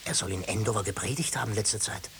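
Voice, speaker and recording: An actor playing a deferential, uneasy court official, cautious in speech, in 1950s German radio-drama style. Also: male voice, fairly low pitch, quick pace, vocal fry, a bit bright. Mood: uneasy, respectful. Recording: hissy 1950s radio recording.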